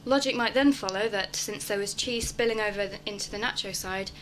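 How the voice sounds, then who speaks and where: A woman's voice: mid-range voice, fairly quick, a bit bright, natural voice. A young woman acting in a radio play, delivering scripted personal monologues. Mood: indignant. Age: young adult. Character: wistful young woman longing for home.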